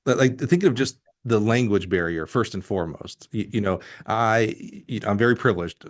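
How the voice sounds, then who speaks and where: A middle-aged man from Alabama hosting a casual interview, talking in an effusive, halting way and often restarting his thoughts. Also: lowish voice. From Alabama, United States. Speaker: podcast host.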